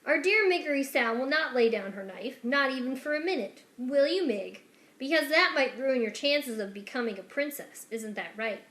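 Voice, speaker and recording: A villainous male rat from a children's storybook, played by a woman, speaks with deliberate, formal courtesy that barely covers menace. He commands and condescends. The room around the voice rings with echo. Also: sits high, animated, fairly bright tone. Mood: sinister politeness. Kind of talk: dramatic children's storybook reading. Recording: echoey room.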